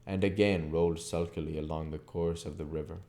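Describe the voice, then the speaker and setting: A man with a very low, dark and mellow voice reads as an audiobook narrator. His delivery is measured and literary, with a soft-edged tone. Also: steady tone. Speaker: audiobook reader, channel host. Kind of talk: scripted narration of a classic novel.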